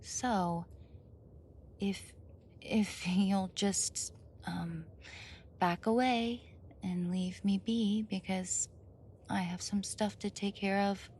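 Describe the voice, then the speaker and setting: A woman's voice: mid-range voice, fairly even intonation, drawn-out words, long pauses, a little mellow, a little hiss or hum. A woman acting a flustered, defensive young roommate caught off guard in an ASMR roleplay, stammering, indignant and evasive. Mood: nervous, evasive. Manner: stammering, indignant, evasive, sighs and nervous laughs.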